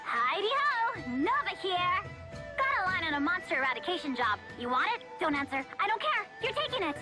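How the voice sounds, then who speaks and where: A woman's voice: very high voice, big pitch swings, brisk, loud music behind the voice. An actress playing a perky, bossy young woman who hands out jobs in a video game, bubbly and pushy. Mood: chirpy, bossy. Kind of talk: animated video game voice acting.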